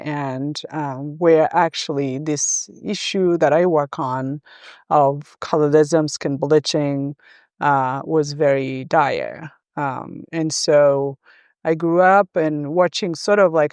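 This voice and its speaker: Middle-aged woman guest, measured and hesitant, clean resonant tone, dry close sound. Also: low-pitched, a little mellow, no room sound. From Rwanda, raised in Uganda. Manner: thoughtful, hesitant with many ums. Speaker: interview guest, gender professional. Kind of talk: conversational interview.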